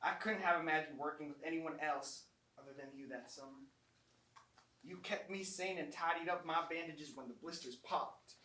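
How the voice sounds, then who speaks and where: A woman's voice: deep voice, moderate pace, pushed and bright. A woman playing an earnest, devoted Southern country man in love, folksy and sincere, in a live amateur stage comedy. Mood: nostalgic, fond. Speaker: actor in a staged reading of a short play. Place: small college theatre stage. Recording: roomy distant theatre mic, background noise.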